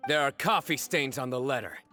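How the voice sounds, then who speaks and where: An actor playing a stern, composed young male chief investigator in a video game, speaking in a measured, authoritative way. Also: medium pitch, bright, forceful tone, faint music behind, lightly acted. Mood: accusing.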